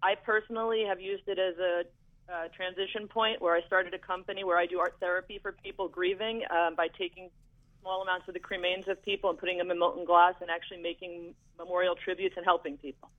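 A man calling in to a radio show, telling his account calmly and steadily with soft fillers. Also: high-pitched, fast talker. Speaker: caller. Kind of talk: call-in radio talk. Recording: tinny phone line.

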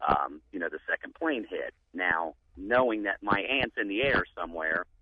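A man calling in to a radio show, speaking haltingly with many fillers. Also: low voice, animated, lo-fi sound, crowd noise. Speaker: caller. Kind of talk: call-in radio talk.

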